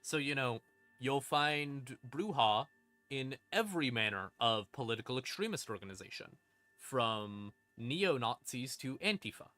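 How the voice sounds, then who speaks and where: A man's voice: mid-range voice, expressive, unhurried, pushed and bright, no echo. A man streaming a casual explanation of game lore, informal, with dry asides and dramatic emphasis. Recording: music behind the voice. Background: creepy ambient music.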